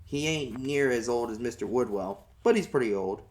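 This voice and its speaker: A man's voice: mid-range voice, expressive, moderate pace, darkish tone. Man narrating a folksy first-person story. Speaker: amateur audiobook reader.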